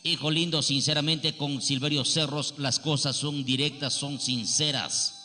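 A man's voice: a little high, steady tone, unhurried, sharp tone. A man hosting a radio healing show, talking in an emphatic, preachy and repetitive way. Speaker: radio faith healer host. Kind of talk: radio healer consultation. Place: radio studio. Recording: radio broadcast with reverb and music.

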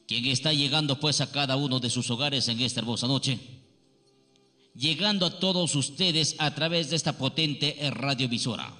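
A man's voice: medium pitch, pushed and bright. A man hosting a radio healing show, talking in an emphatic, preachy and repetitive way. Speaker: radio faith healer host. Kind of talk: radio healer consultation. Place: radio studio. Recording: radio broadcast with reverb and music.

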